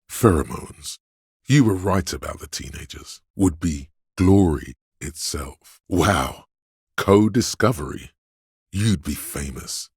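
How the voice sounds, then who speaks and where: A man voicing a video game character, a seductive, hedonistic inner voice craving vice, with a gravelly, husky delivery that is sly and coaxing. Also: rumbling low, dry recording, clean studio sound, full character voice. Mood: goading.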